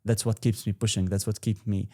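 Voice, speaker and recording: A young man in his twenties, Arabic his first language, speaks as a guest in a relaxed interview. His voice is a touch low and mellow, and he rambles with restarts and fillers. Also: fairly quick. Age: 20s. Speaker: guest entrepreneur. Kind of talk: casual interview talk.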